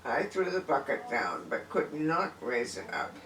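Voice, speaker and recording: A man's voice: a little high, animated, a little slow, bright, forceful tone. A man voicing an anxious, weary village wife in a folk tale, with emphatic exclamations. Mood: exasperated. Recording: distant, echoey room with faint noise.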